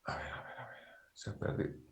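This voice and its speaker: A man's voice: fairly quick, reverberant, in a whisper. A middle-aged man with a Bolivian Spanish accent, giving an online university engineering lecture and often checking in with rhetorical questions. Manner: lecturing, frequent rhetorical "¿no es cierto?" tags. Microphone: video call.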